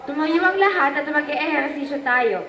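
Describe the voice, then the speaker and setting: A woman's voice: high-pitched, lively intonation, moderate pace, pushed and bright. A woman playing a strict, commanding schoolteacher in a puppet show. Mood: stern, instructing. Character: strict female schoolteacher leading class exercise. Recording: echoey, distant, noisy room.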